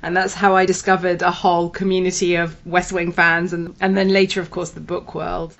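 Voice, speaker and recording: A woman's voice: lowish voice, flat delivery, moderate pace, a bit bright, highs cut off. Enthusiastic woman podcast host. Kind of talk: scripted podcast intro and interview.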